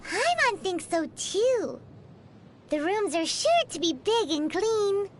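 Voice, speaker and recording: A woman voicing a tiny, perky, childlike female companion with a squeaky voice in a video game, music behind her. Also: animated, takes it slowly, slight background noise, full character voice. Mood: curious, earnest. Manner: refers to self in third person, exclamatory. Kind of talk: video game character dialogue.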